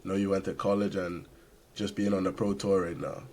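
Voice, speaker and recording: A man's voice: sits low, little pitch movement, a little fast, a little mellow. A man from the Caribbean hosting a podcast, greeting casually and asking questions in a friendly, slangy way. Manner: friendly, laid-back slang. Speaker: podcast host. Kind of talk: casual interview chat.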